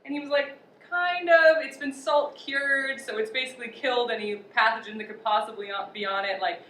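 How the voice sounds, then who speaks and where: A young woman hosting a casual outdoor cooking demo, chatty and quick to laugh. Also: a little high, steady tone, sharp tone. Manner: chatty, laughs often, upbeat. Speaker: outdoor cooking show host. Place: outdoor cooking spot at a river park. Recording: echoey, distant, faint noise.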